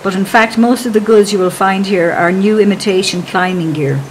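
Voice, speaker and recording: A woman giving calm, measured, scripted narration for a travel documentary as a voice-over. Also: mid-range voice, slight background noise, poor audio.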